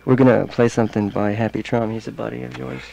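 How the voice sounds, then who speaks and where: A man hosting a casual radio interview as the DJ, low-key and unhurried, in a studio. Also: level tone, creaky, mellow, lo-fi sound. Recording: noisy old radio aircheck.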